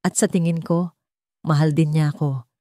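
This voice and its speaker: A woman's voice: deep voice, fairly even intonation, normal speed, dark tone, no echo, studio quality. A woman narrating a scripted first-person story as a storyteller.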